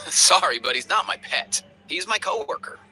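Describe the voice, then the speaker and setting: A man voicing a cheerful, teasing young man in a video game, with playful, expressive delivery. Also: high-pitched, measured pace, creaky, heavily acted, poor audio. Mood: amused. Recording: music behind the voice.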